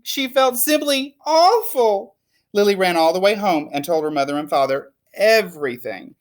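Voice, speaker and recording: A woman reading a children's storybook aloud in an expressive, emphatic way. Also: fairly low pitch. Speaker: teacher reading a picture book aloud. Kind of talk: animated storybook read-aloud.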